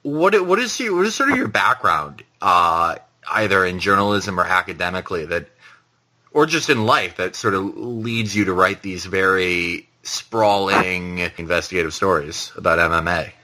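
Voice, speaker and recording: Male show host, very sharp bright tone, animated intonation, halting conversational questions. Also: low-pitched. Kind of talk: conversational interviewing.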